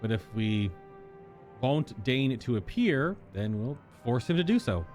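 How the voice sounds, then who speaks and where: A man's voice: medium pitch, big pitch swings, a little slow, a little mellow. A man voicing an earnest, determined young royal from a video game, speaking plainly and resolutely. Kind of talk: acted reading of video game dialogue. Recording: game music under the voice.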